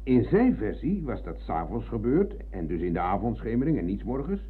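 A man's voice: fairly low pitch, big pitch swings, fairly quick, breathy edge. An actor playing a rambling, argumentative older ex-soldier in a radio play, hesitating and digressing as he reminisces. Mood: insistent, argumentative. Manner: digressive, hesitant searching, tag questions. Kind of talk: Dutch radio play acting. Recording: muffled phone-like old radio recording.

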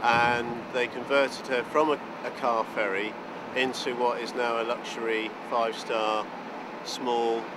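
A man's voice: mid-range voice, little pitch movement, measured pace. Middle-aged man, chief purser, repeats himself as he talks; recorded on an open deck with heavy outdoor noise. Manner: repeats words while gathering thoughts. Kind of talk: interview answers.

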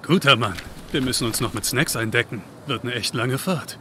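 Bright-voiced, friendly young man. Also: medium pitch, normal speed, slight background noise. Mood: casual, friendly. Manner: casual, teasing, affectionate. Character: friendly, upbeat young man, protective big-brother type. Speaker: video game character. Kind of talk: video game dialogue.

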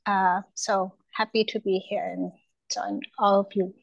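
A woman who teaches at a university, introducing herself on a video call in a measured way with frequent uhs. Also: mid-range voice, flat delivery, creaky, dry recording, dull audio. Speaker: university lecturer.

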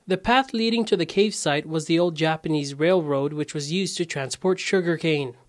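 A young man giving scripted news narration in a measured, clear reporting delivery. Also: fairly high pitch. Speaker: news correspondent.

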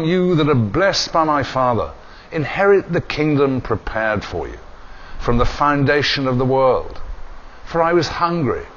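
An older man, a priest, leading a church service and reading the gospel in a measured, solemn way in a small chapel, recorded from a distant camera. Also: medium pitch, fairly bright tone. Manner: measured, solemn liturgical delivery. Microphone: far camera. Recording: dull lo-fi camera audio with faint hiss.

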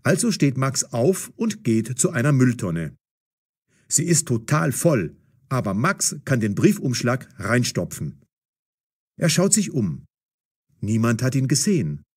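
Slow, animated male story narrator. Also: medium pitch. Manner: deliberate, clearly enunciated, expressive. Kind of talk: scripted story narration for language learners.

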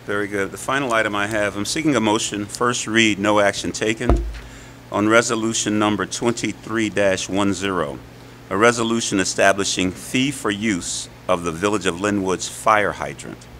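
A man's voice: lowish voice, moderate pace, a bit bright, a little hiss or hum. A man serving as a village board trustee, speaking formally at a board meeting. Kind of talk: formal reading of motions.

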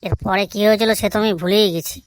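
A woman's voice: medium pitch, fairly even intonation, brisk, no room sound, close to an everyday voice, poor audio. A woman voicing a cheeky, crude village schoolboy in a comedy cartoon. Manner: cheeky, blunt excuse-making.

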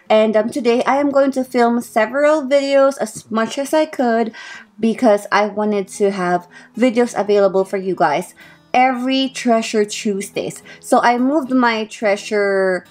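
A woman in her thirties from the Philippines, whose first language is Filipino, chatting casually and cheerfully to camera in a bedroom, with frequent ums. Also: medium pitch. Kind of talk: casual vlog talk to camera. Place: bedroom at home, indoors.

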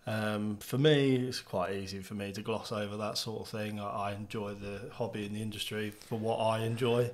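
A man's voice: lowish voice, steady tone, moderate pace, few pauses. A man in his thirties co-hosting a podcast, agreeable and hesitant, with frequent ums. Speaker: co-host. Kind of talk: casual podcast chat. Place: small studio room. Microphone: studio podcast mic on arm.

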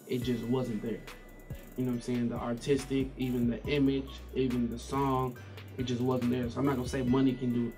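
Flat-toned man, off-mic. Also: medium pitch. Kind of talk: documentary interview. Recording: distant mic, music bed.

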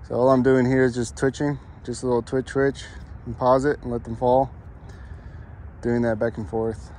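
A young man casually narrating his fishing trip outdoors, relaxed and chatty as he explains what he is doing. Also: medium pitch. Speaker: fishing vlogger. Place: outdoors by a pond on a windy day. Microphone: camera-mounted mic, point-of-view and selfie framing. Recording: faint wind and outdoor noise.

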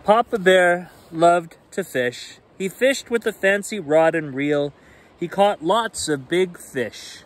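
A man in his forties reading a children's picture book aloud expressively, in simple, deliberate phrasing. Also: high voice. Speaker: storyteller reading children's bedtime stories. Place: outdoors on a rainy forested shore. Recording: outdoor ambient noise.

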